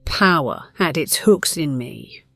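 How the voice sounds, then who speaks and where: A woman playing a centuries-old, conflicted vampire, narrating gravely in a measured, theatrical way. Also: sits low, lively intonation, no room sound, full character voice. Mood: brooding. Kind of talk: dramatic scripted narration. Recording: dramatic music behind the voice.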